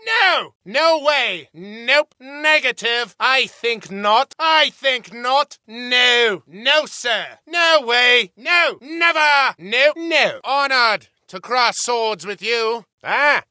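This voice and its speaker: Spirited video game man-at-arms, soldierly, extremely forceful edge, dry close sound. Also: very high voice, no echo, exaggerated voice. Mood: firm refusal, then respectful, relishing. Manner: forceful, declamatory soldierly delivery. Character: bold, spirited medieval man-at-arms shouting battle orders. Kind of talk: video game combat voice lines.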